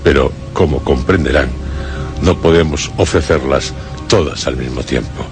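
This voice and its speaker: A man presenting a scripted radio drama programme, solemn and theatrical with deliberate pauses. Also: a bass voice. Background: prominent music.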